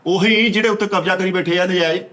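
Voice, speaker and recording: Punjabi guy from a pakistani comedy panel accent wise, talks fast, bit high pitched, joking around. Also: steady tone, fairly bright tone. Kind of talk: comic improvised banter.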